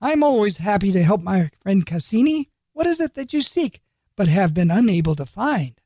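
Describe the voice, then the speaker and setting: Man reading a smooth-talking street trader, flattering, husky and animated. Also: sits high, normal speed, no room sound, studio quality, pitched above the performer's own voice, heavily acted. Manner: wheedling, flattering.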